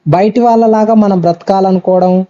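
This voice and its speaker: A man's voice: high voice, steady tone, moderate pace, a crowd in the background. A man with a Rayalaseema Telugu accent giving earnest, admonishing advice as a preacher, repeating phrases and checking that the listener agrees. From Kurnool, Andhra Pradesh, India. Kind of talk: advisory phone conversation. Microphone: phone call. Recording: dull lo-fi phone call recording.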